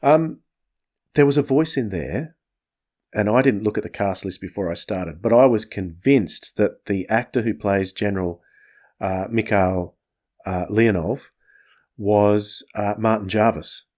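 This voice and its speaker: Low-voiced man hosting casual chat. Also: unhurried, a little mellow. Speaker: podcast host.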